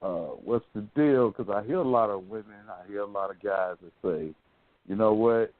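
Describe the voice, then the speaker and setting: A man's voice: lowish voice, moderate pace, no room sound, narrow, tinny audio. A man with an American accent hosting a talk show, chatting casually in informal slang. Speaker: radio show host. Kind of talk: casual talk radio chat.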